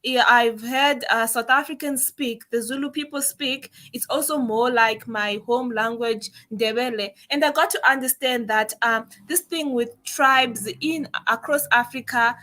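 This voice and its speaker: Young zimbabwean woman, shona speaker, bright higher voice, earnest and measured. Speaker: guest content creator. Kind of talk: livestream interview.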